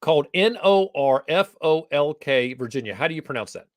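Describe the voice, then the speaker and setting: Animated joking male host. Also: on the high side, a little fast, no room sound. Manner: chatty, jokey, animated. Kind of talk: casual livestream interview chat. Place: Atlanta area.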